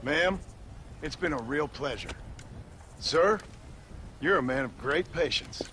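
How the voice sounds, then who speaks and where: A dry-witted gunslinger. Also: male voice, a little high, expressive, takes it slowly, bright, forceful tone, slight background noise, full character voice. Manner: dry, wry, gruff drawl. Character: dry-witted frontier gunslinger, grown man. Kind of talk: video game cutscene acting.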